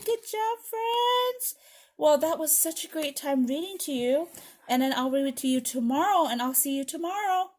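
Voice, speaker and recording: A woman's voice: sits high, a little fast, a little mellow. A woman teacher hosting a read-aloud storytime, talking in simple phrases to young children. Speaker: preschool and kindergarten teacher, storytime host.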